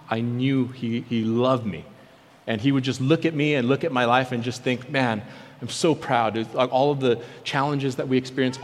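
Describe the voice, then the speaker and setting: A man preaching through personal testimony, telling his story earnestly and acting out remembered conversations. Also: mid-range voice. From born in South Korea. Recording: long hall reverb.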